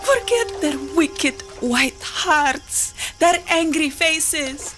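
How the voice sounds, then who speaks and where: A desperate young island lover, high voice that swings all over, very slow and drawn out, music underneath. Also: female voice, lively intonation, exaggerated voice. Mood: tender and bitter. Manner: impassioned, pleading, wild swings to cries. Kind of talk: full-cast audio drama. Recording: music score behind.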